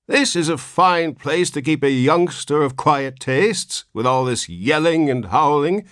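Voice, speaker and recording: A cracked, ancient old man, put on by a male narrator, whining querulously in a high, wavering voice that swoops up and down. He complains endlessly in a storybook reading, recorded close and dry. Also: expressive, measured pace, a bit bright, no echo. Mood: querulous whine.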